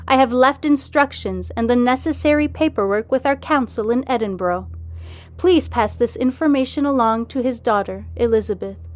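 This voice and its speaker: A woman's voice: fairly high pitch, normal speed, soft background music, close to an everyday voice. A woman voicing an ailing woman's formal, earnest farewell letter in a storybook. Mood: urgent, regretful.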